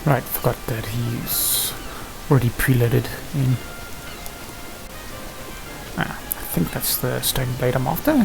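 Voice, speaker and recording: A man's voice: medium pitch, slow, creaky, long pauses, rough recording. A man giving casual, hesitant gameplay commentary, muttering to himself as he plays. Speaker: gamer narrating own play. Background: game music and sound effects.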